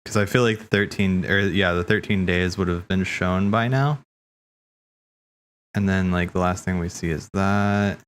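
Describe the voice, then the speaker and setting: A man's voice: low-pitched, fairly even intonation, a little slow, slight background noise. A man hosting a casual watch party, rambling and thinking aloud in slangy speech as he comments. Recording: game music playing behind. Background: video game cutscene music.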